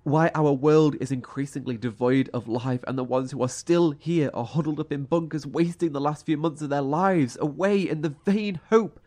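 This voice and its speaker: Mellow-voiced, distrustful survivor. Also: male voice, on the high side. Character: defiant, distrustful male survivor, weary and blunt. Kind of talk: horror audio drama acting.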